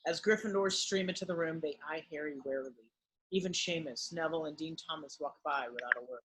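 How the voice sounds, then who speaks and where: A man giving scripted narration, reading the stage directions of a drama in a husky voice. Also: a little high, fast talker, a bit bright, poor audio.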